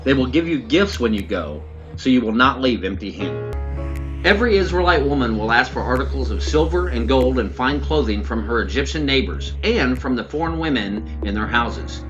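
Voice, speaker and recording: A man's voice: mid-range voice, expressive, normal speed, lo-fi sound, natural voice. Man voicing solemn commanding God over music. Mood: solemn, declarative. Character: commanding male God speaking from a burning bush. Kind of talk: scripted scripture reading. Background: prominent background music.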